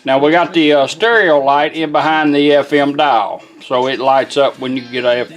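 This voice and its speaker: A man with a Southern US accent giving a casual, plain-spoken, unhurried product demonstration as a radio restorer. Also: a little high, fairly even intonation.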